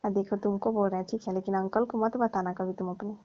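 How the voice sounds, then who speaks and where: A woman's voice: medium pitch, flat delivery, fast talker, dark tone, no echo. A middle-aged woman chatting casually on the phone in a coy, teasing, playfully scolding way. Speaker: participant in a comic phone call. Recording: dry, dull lo-fi phone-call audio.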